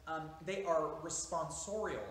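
A man's voice: fairly high pitch, steady tone, measured pace. A man in his thirties, a priest, giving an informal seated lesson in a small church, explaining at length with fillers and restarts. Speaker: priest teaching a class. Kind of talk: informal lecture. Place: small church interior, seated near a window. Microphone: camera at a few meters. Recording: echoey church room with faint hiss.

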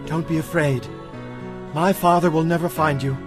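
Male actor as loyal young prince, reassuring, higher voice, audio drama over music. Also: fairly even intonation, normal speed, close to an everyday voice. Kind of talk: dramatized audio Bible acting.

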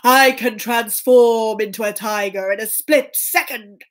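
A woman voicing a big, grumpy, boastful male ogre in a children's story, grumbling loudly and dragging out the words. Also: a little high, unhurried.

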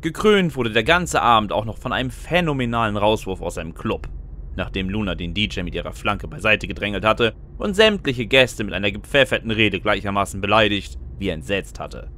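A man's voice: fairly low pitch, very animated, brisk, sharp tone. A man playing a sardonic, lonely narrator in a scripted first-person audio drama, with a dry manner. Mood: frustrated, dry. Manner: dry, sarcastic. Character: frustrated, sardonic male narrator, lonely and sentimental underneath. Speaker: narrator and lead character. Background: music.

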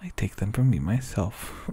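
A young man with a whispery voice speaks softly and sleepily in a teasing boyfriend roleplay, often breaking into quiet laughs. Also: on the low side, very animated, slow, heavy background noise. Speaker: ASMR roleplay performer. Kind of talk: soft-spoken ASMR boyfriend roleplay.

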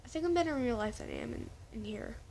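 A woman's voice: a little high, animated, rapid-fire, vocal fry, a little mellow, slight background noise. A woman casually chatting to her viewers in a rambling vlog, often restarting her sentences. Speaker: vlogger.

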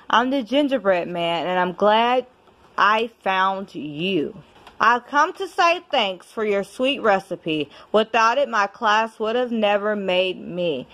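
A woman reading a children's picture book aloud at home in an expressive, deliberate storybook manner. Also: medium pitch. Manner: measured, deliberate storybook delivery. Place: indoors at home.

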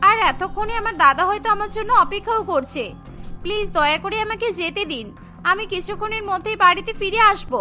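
Woman playing a meek, high-voiced young daughter-in-law. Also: sharp tone, exaggerated voice. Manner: pleading, sincere.

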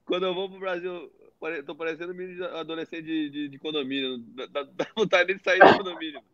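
Fast-talking, teasing man on a call. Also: fairly high pitch, steady tone, bright, forceful tone, no room sound. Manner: fast, teasing, joking banter. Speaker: podcast co-host. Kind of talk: casual chat on a video call. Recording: dull lo-fi video call audio.

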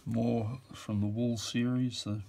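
A man's voice: mid-range voice, little pitch movement, takes it slowly, vocal fry, mellow. A man describing auction items in casual, off-the-cuff commentary, musing with fillers and self-corrections, recorded indoors at a table.

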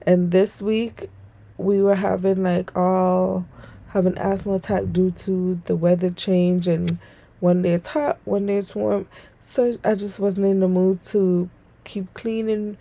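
A woman's voice: medium pitch, little pitch movement, a little slow, dark tone. A young adult woman narrating a home vlog in a casual, informal, rambling way. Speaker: home vlogger and mother.

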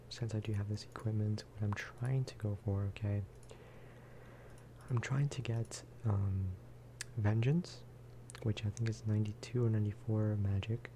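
A man speaking softly in a low-key, rambling ASMR style as he chats over gameplay. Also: slight background noise. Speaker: gaming video creator. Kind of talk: soft-spoken ASMR gameplay chat.

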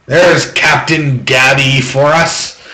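A man's voice: medium pitch, steady tone, bright, forceful tone. A man hosting a card break, reading off names as he opens packs, with offhand jokes between. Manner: quick list-reading with offhand jokes and complaints. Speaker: box break host. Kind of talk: reading out trading cards while opening packs. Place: small room at home, table. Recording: echoey room, faint background noise.

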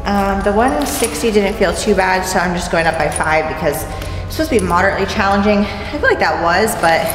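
A woman's voice: medium pitch, lively intonation, brisk. A young woman chatting casually to the camera in an offhand, unscripted way, like a fitness vlogger filming in a big gym. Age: young adult. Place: large indoor gym with hard open space. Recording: echoey gym hall, music over it.

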